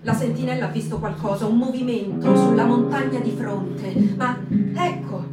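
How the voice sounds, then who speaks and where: A woman's voice: a little high, moderate pace, over loud music. A woman giving a performed literary reading in a clipped, tense narrating voice. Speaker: reader. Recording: roomy live stage, distant mic.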